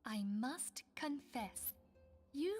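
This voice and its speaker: An actress playing an earnest, gracious woman leader, speaking formal fantasy game dialogue in a measured, solemn manner. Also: sits high, lively intonation, darkish tone, exaggerated voice. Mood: solemn, hopeful. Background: background music.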